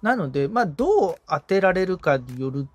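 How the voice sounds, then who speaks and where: Animated man chatting on a podcast. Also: high-pitched, moderate pace, no echo, highs cut off, faint music behind. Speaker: podcast assistant, guitarist being coached. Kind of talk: casual explanatory conversation.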